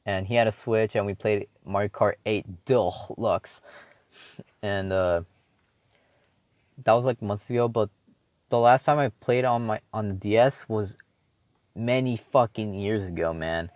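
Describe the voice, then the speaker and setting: A teenage boy hosts his own show, rambling casually with constant swearing, fillers and restarts. His voice sits fairly low. The recording is dry and dull, with a lo-fi sound. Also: measured pace, long pauses, no room sound. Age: teens. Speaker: solo podcaster.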